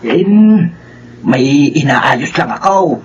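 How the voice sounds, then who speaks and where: A man playing a nervous, evasive employee in a Filipino radio drama, with a husky voice. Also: medium pitch, expressive, takes it slowly, a little hiss or hum. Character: evasive, nervous, guilty-sounding male employee. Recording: dull lo-fi radio sound.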